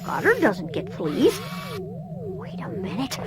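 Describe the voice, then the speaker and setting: A woman's voice: very high-pitched, wildly swooping pitch, takes it slowly, over loud music, exaggerated voice. A woman voicing a brainy, earnest boy inventor in a cartoon video game, eagerly explaining technical plans. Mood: puzzled, excited.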